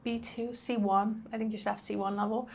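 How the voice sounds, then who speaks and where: A woman's voice: mid-range voice, flat delivery, a little fast, creaky, darkish tone. Chatty Dutch woman vlogging. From Netherlands. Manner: chatty, with frequent ums and likes. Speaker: travel vlogger. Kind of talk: casual talk to camera.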